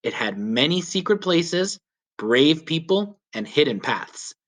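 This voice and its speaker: A man reading a children's story aloud as a teacher would, with clear, deliberate, emphatic phrasing. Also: a little high, big pitch swings, fairly bright tone. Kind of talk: children's read-aloud narration.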